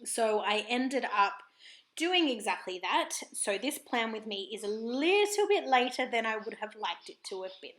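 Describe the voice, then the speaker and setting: A hoarse Australian woman rambles through a relaxed vlog voiceover, her higher-pitched voice bright and edgy despite the croak. Her husky tone rings around an echoey room at home. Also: normal speed. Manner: chatty, rambling, apologetic. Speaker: planner vlogger. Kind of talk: casual voiceover chat.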